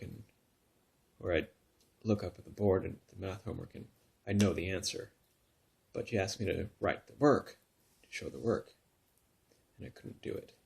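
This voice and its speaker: A man in his thirties from Northern California who tells his own story in a soft, halting way, full of pauses and restarts, sometimes close to a whisper. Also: low voice, creaky, slightly rough. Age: 30s. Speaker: vlogger telling his story. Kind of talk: personal confessional vlog.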